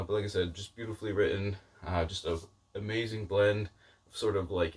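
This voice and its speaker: A man's voice: lowish voice, far from the mic. A man giving a casual, rambling book-review chat to viewers, informal and self-deprecating in his delivery. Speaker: book vlogger.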